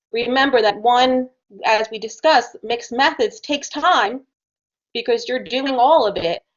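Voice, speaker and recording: A woman's voice: a little high, highs cut off. A woman researcher on a panel, giving candid, practical advice. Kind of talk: panel discussion.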